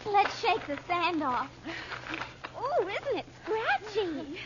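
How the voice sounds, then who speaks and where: An inquisitive young girl in a radio serial, earnest and innocent, with an extremely high voice. The old recording is muffled and has clear noise. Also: very animated, slow, full character voice. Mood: eager. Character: curious, frank young girl on a family adventure. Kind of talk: old-time radio adventure serial acting.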